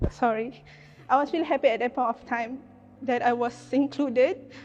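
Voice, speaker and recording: A woman's voice: sits high, a little slow, a little mellow, lo-fi sound. A woman in her twenties, a lecturer, speaking earnestly about her personal experiences in a panel discussion. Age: 20s.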